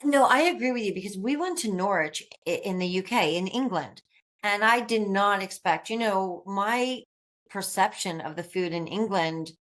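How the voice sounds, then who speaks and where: A woman's voice: mid-range voice, lively intonation, normal speed, poor audio. Encouraging woman podcast host. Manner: warm, encouraging, affirming listener. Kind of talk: casual interview chat.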